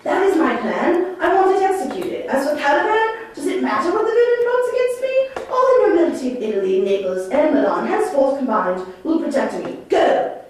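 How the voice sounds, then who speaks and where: An imperious ageing sorcerer, played by a woman in a stage play, heard far off in a very echoey room. The voice is high, and the delivery is commanding, scornful and declamatory. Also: sing-song, a little fast, darkish tone, slight background noise. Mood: lordly. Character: imperious older male sorcerer master, scornful father. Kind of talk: theatrical stage dialogue.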